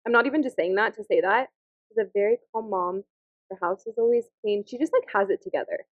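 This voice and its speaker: A woman's voice: medium pitch, quick pace, no echo. Brief: twenties woman co-host, gushing and upbeat, thin phone-like recording, very dry. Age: 20s. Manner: upbeat, gushing, warm compliments. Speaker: podcast co-host. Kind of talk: casual chat.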